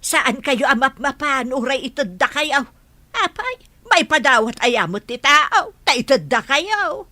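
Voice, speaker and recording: A woman playing a worried, stubborn village grandmother in an animated, questioning radio drama style. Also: high voice, measured pace, dry recording, heavily acted. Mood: insistent. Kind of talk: Filipino radio drama acting. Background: music.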